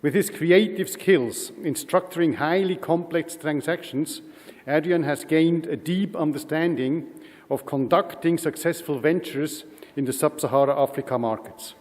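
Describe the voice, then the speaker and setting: A man's voice: on the high side, moderate pace, darkish tone. A man acting as event host, formally reading a prepared introduction of the next speaker. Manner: formal, reads from prepared text. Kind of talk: scripted introduction. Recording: reverberant hall.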